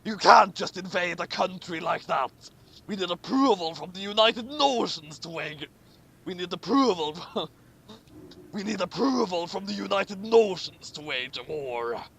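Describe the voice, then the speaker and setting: A man's voice: sits high, lively intonation, measured pace, vocal fry, a bit bright, slightly rough, exaggerated voice, rough recording. A man voicing a bad-tempered, bossy old emperor, scolding and barking orders in a comic fan fiction reading. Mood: angry scolding.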